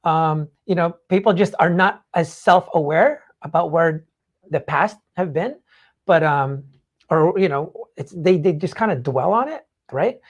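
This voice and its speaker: A co-host in a casual, opinionated chat, speaking bluntly and haltingly, often checking in mid-thought and swearing casually. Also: medium pitch, animated. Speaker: talk show co-host.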